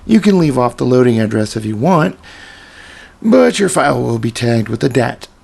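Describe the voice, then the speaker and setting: Man with a creaky, gravelly voice. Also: mid-range voice. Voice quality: slightly raspy voice. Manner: relaxed explanatory walkthrough with casual asides. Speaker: software tutorial presenter. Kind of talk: screen-recorded programming tutorial.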